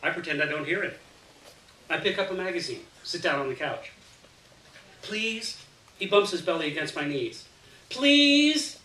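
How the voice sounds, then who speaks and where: A man reading a first-person short story aloud in an expressive, dramatic way. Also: fairly high pitch, quick pace. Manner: dramatic, animated delivery with acted dialogue. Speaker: reader of a short story to an audience. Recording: roomy, distant mic, faint noise.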